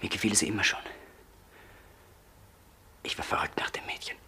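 An actor playing a tormented man confessing in a crime radio play, haltingly, often dropping toward a whisper. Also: sharp tone. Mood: halting, subdued. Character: tormented man confessing a killing to his brother. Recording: slightly noisy old radio play recording.

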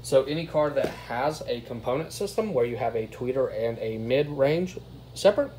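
Male reviewer, informal and plain-spoken, average pitch, faint room noise behind him. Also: lively intonation, normal speed, darkish tone. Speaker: product reviewer. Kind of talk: casual hands-on review commentary. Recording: clear background noise, room ambience.

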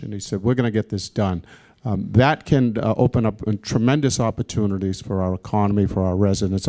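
A man speaking as a panelist at a community event, earnest and halting, with many fillers. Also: fairly low pitch, warm tone. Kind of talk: panel discussion answer. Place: auditorium stage panel.

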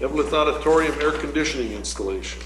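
A man chairing a city council meeting as mayor, calling motions and votes in a brisk, routine manner. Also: a little high, animated. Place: council chamber, large room. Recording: echoey council chamber with background noise.

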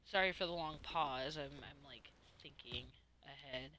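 A woman's voice: sits low, measured pace, pushed and bright, slight background noise. A woman streaming a game and chatting casually, hesitant and rambling, with free swearing. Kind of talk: casual livestream chat while playing.

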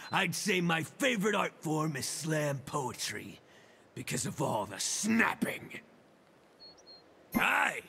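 A big, cocky mutant turtle from a video game. The voice is extremely gravelly and very sharp, swooping widely as it boasts and taunts in punchy bursts. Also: male voice, high voice, a little hiss or hum, exaggerated voice. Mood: taunting, smug, then cocky, exuberant. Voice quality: gravelly, forceful. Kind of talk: video game character voice lines.

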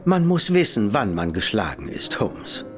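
A plain-spoken gentleman ally in an audio drama. His mid-pitched voice moves expressively, and he speaks in a dry, matter-of-fact way over a clear score. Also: big pitch swings, unhurried, over music. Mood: dry, consoling. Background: background score.

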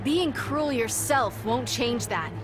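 Casting: bold young superheroine in a game, animated, high, music behind. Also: female voice, slow. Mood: indignant. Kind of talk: video game hero dialogue. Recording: music under the voice.

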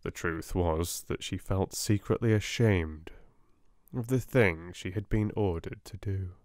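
Slow soft-spoken British man reading aloud. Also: low-pitched, no echo, clean studio sound. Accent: British English. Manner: slow, gentle, measured. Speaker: narrator.

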